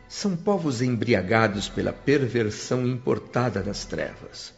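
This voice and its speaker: A man narrating a radio drama in a solemn, measured way. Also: medium pitch. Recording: dull lo-fi radio recording with music.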